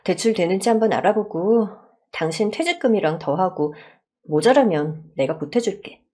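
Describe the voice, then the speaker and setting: A woman voicing a gentle, supportive young wife in a story drama, speaking encouragingly. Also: medium pitch, lively intonation, moderate pace, breathy edge.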